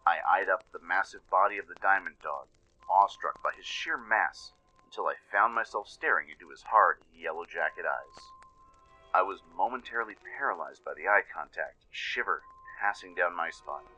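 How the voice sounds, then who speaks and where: Low male voice, very bright and forceful, dramatic scripted narration, suspenseful delivery. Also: normal speed, low-quality recording. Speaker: narrator and producer of a fan audio drama. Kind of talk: dramatic scripted first-person story narration. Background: music.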